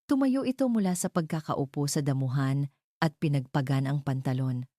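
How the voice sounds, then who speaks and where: A woman tells a dramatic love story as a scripted narrator. Her voice sits low and has a warm, mellow tone. The recording is dry, with the voice free of room echo. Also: normal speed.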